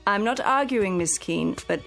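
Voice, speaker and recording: A woman's voice: mid-range voice, expressive, a little slow, vocal fry, fairly bright tone, faint music behind. An actress playing a practical, patient female caregiver in a suspense radio drama, reasoning and coaxing in a firm way. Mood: firm, soothing. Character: practical, patient live-in caregiver soothing her employer.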